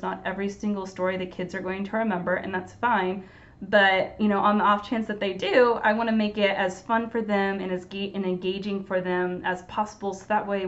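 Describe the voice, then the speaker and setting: Young woman giving encouraging storytime tips. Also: medium pitch. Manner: earnest, encouraging, plain-spoken. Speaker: storytime presenter. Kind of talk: casual instructional talk to camera. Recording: dull lo-fi recording.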